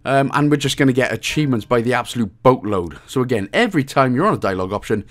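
Animated man guiding through a game. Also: medium pitch, quick pace. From Wales. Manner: jokey, crude humour. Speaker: host of game achievement guides. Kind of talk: casual video game walkthrough commentary.

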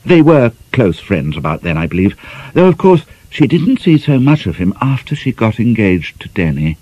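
A man voicing a flustered, tactful middle-aged lady in an audiobook, halting and apologetic. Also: lowish voice, big pitch swings, darkish tone, muffled recording. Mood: awkward tact.

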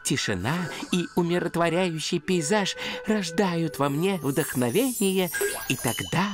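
Cartoon casting: ancient golden pavilion, low-pitched, solemn poet, measured delivery, music underneath. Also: female voice, lively intonation. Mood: serene, poetic. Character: serene old Japanese golden temple pavilion, poetic and solemn. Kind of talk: children's cartoon acting. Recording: music behind the voice.

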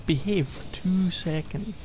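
A man playing a needy young gamer boyfriend in an ASMR roleplay, halting and teasing, with playful exasperation as he explains a game. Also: high voice, a little mellow, slight background noise. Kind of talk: ASMR boyfriend roleplay, improvised-sounding casual chat.

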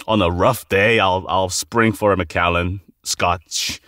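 A man's voice: low voice, steady tone, a little slow, a bit bright, no echo. A man hosting a podcast interview, talking in a casual, conversational style. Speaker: podcast host.

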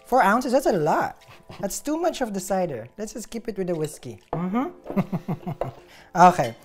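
A man in his thirties chats casually as he hosts a drink-making session. His delivery swings up and down in pitch and is full of playful joking, with music behind him. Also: very animated, unhurried. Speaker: host mixing cocktails. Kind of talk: casual chat while demonstrating drinks. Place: indoor home bar room.

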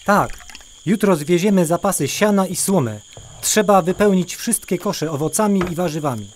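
A man's voice: sits high, expressive, moderate pace, slight background noise. A man playing a devout, steadfast old patriarch in a children's audio drama, speaking earnestly. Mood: calm, assured.

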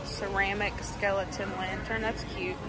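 A woman's voice: medium pitch, flat delivery, normal speed, loud music behind the voice. A woman with a Southern US accent chatting casually as a shopping vlogger, informal and gushing about products and prices. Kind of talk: casual vlog chat, remarking on products and prices.

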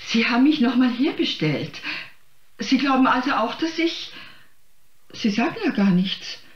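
An actress playing a needy, naive female patient, plaintive and halting. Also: fairly high pitch, very animated, dull audio. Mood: pleading, relieved.

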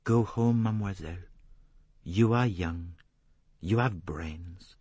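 A man voicing a gentle older male detective, measured and formal, in an audiobook novel. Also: sits low, husky, dry recording, highs cut off, full character voice. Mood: gentle, grave.

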